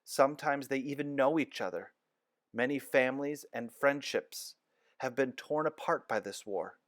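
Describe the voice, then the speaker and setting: A man voicing a calm, firm woman nurse in a storybook read-aloud, measured and gentle. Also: mid-range voice, dry recording, studio quality, lightly acted.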